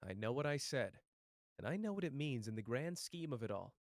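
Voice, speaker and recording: A man's voice: mid-range voice, big pitch swings, brisk, big-room sound. A man playing a cocky, protective young sorcerer and clan head in a roleplay audio drama, taunting and sardonic in casual slang. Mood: subdued, tender. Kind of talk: character roleplay audio drama addressed to the listener.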